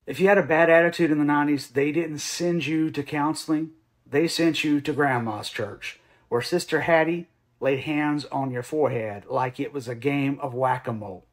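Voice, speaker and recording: A middle-aged man with a fairly high-pitched voice hosts in a comedic monologue style. He is animated and punchy, playfully dropping slang and asides as he goes. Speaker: host of a Bible commentary show.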